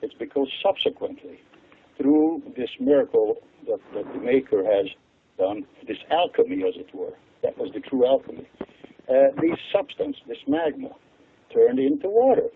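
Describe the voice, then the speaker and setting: Older Hungarian-accented man, gravelly and husky, lively swooping delivery over a tinny phone line. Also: moderate pace, a little hiss or hum. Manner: animated, chuckles often, pitch leaps for emphasis. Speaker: interview guest, water expert. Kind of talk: explanatory interview talk.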